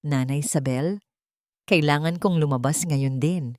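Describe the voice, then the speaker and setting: Defiant low-voiced housemaid, played by a woman narrator. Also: unhurried, dark tone, dry recording, studio quality. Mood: urgent. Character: defiant imprisoned young housemaid, determined and vengeful.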